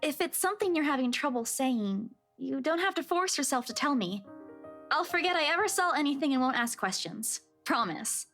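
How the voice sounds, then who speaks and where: A woman's voice: sits high, lively intonation, normal speed, fairly bright tone, soft background music. An actress playing a caring young woman in a visual novel game, a supportive friend with a concerned, reassuring manner. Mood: gentle, reassuring.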